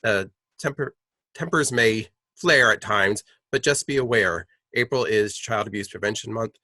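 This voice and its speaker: A man hosting a local TV interview, speaking in calm, measured reminders. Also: on the low side, no room sound. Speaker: TV host.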